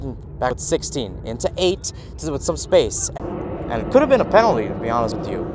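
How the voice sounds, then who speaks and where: Man calling live soccer over outdoor noise. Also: mid-range voice. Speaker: play-by-play commentator. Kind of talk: live soccer radio commentary. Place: outdoors at a youth soccer field. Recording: noisy outdoor ambience, traffic hum.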